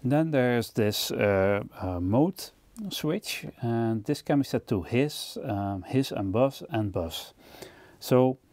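Male gear-demo presenter, mellow and relaxed tone, explanatory delivery, dry close-miked sound. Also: medium pitch, slow pace, dry recording. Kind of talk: instructional product overview narration.

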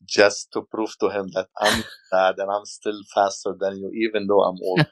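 A man's voice: lowish voice, no room sound, low-quality recording. A man from Lebanon in his forties, a podcast guest telling stories in casual talk with many hesitations. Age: 40s. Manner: hesitant, many fillers, storytelling.

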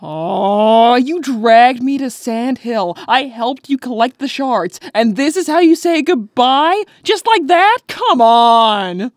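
A woman's voice: fairly high pitch, lively intonation, slow pace, few pauses, no echo, studio quality. A woman voicing a flirty, teasing bat thief in a cartoon comic dub, coy and playful. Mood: pouting. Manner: coy, playful, drawling endearments.

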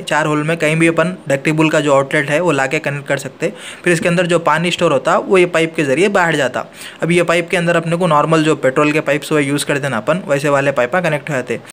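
A man's voice: fairly high pitch, fairly bright tone, a little hiss or hum. A man, an AC technician walking through a hands-on tutorial in a plain, practical way, filmed on a handheld phone indoors.

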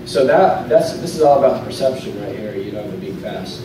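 A man in his thirties speaks at a lectern in a hotel conference room, talking loosely and often restarting his sentences as he walks the audience through a demo. His voice bounces around a very reverberant room and reaches the camera from a distance, with plain steady noise underneath. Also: lowish voice, fairly quick. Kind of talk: casual technical talk with live demo. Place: hotel conference room, in front of a projector screen. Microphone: lectern mic, recorded from a camera at the back of the room. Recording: very echoey room, voice from far off, clear noise.

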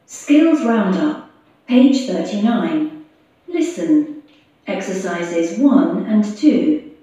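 Composed actress as female radio host, unhurried and mellow, on muffled classroom playback. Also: fairly low pitch. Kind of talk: scripted textbook radio interview. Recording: muffled echoey playback through classroom speakers.

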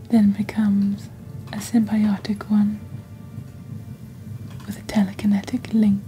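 A woman voicing a witch who hosts a potion shop in soft, whispery, breathy ASMR, speaking slowly and deliberately in a measured sales patter. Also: mid-range voice, a little hiss or hum, close to an everyday voice, poor audio. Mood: calm, mysterious. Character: witch shop host peddling dark potions and poisons. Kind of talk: soft-spoken ASMR shopping-channel presentation.

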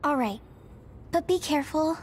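High-voiced caring anime girl soldier. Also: expressive, normal speed, a little hiss or hum. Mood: concerned. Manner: earnest, concerned. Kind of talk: English-dubbed anime game acting. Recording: voice over loud music score.

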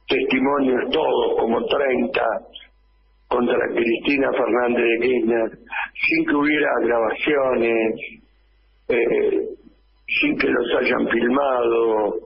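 Slow, gravelly Argentine radio commentator. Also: male voice, mid-range voice, dull audio, a little hiss or hum. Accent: Argentine Spanish. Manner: slow, impassioned, hammering rhetorical questions. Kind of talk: political radio editorial. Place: small radio studio. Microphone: studio microphone close up, wearing headphones.

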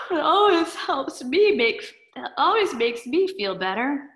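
A woman's voice: very animated, a bit bright, roomy sound, full character voice. A woman voicing an always-sleepy young girl in a storybook reading, yawny and drawn out. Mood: drowsy advice. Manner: yawny, drawn-out, high girlish voice.

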